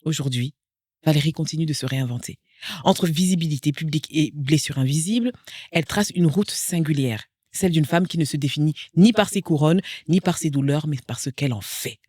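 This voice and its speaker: A woman's voice: deep voice, brisk, no echo, studio quality. A woman in her forties hosting a podcast interview, reading a poetic introduction before chatting with her guest. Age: 40s. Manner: reads a lyrical, poetic introduction, then chats warmly. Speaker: podcast host.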